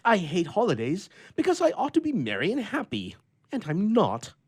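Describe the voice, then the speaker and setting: A man's voice: high-pitched, huge pitch swings, normal speed, husky, voice pushed up, heavily acted. A man voicing an irritable, lonely bachelor in a storybook reading, with emphatic, indignant, old-fashioned oratory. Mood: indignant misery.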